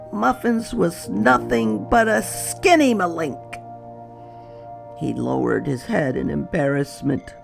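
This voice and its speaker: Children's read-aloud narrator: expressive and very lively in pitch, slow delivery, light music behind. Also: creaky. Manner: expressive, unhurried, addressing young children.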